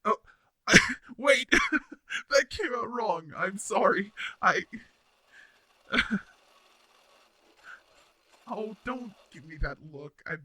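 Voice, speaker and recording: A man's voice: high-pitched, big pitch swings, extremely slow, long pauses, bright, forceful tone, a little hiss or hum, full character voice. A man playing a shy, flustered, kindly robot roommate in an intimate audio drama, speaking hesitantly with stammers. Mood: flustered, embarrassed. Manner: stammering, hesitant, nervous laughs, sighs. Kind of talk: intimate roleplay audio drama addressed to the listener.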